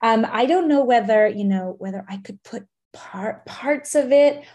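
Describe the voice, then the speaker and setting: A woman's voice: a little high, measured pace, a little mellow. A woman interviewer speaking earnestly and hesitantly over a video call, with many restarts.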